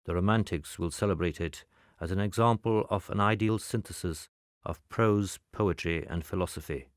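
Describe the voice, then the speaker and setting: A man reading a scripted spy-film style voice-over in a measured, impersonal, matter-of-fact way. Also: deep voice, no echo, clean studio sound. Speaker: narrator.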